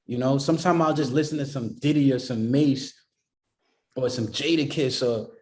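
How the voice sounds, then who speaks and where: A man's voice: mid-range voice, normal speed, a little mellow. A man from the Boston area, a music producer being interviewed, talking casually and rambling with lots of "you know what I mean" fillers.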